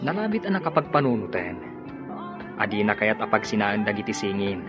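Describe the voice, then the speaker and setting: An actor playing a calm friend who consoles his buddy over drinks in a radio drama. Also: lowish voice, fairly quick, muffled recording, music in the background, natural voice. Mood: consoling. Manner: consoling, easygoing.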